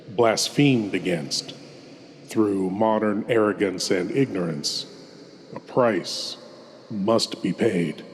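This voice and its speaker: A man hosting a horror show, narrating theatrically and portentously with deliberate dramatic pauses. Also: lowish voice, long reverb tail, a little hiss or hum.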